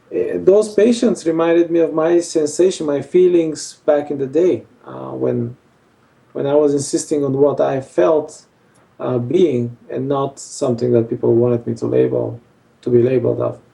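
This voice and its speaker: A man's voice: medium pitch, unhurried, creaky, mellow, distant mic, rough recording. A male surgeon from Bulgaria, answering interview questions hesitantly with many fillers. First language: Armenian. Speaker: facial plastic surgeon.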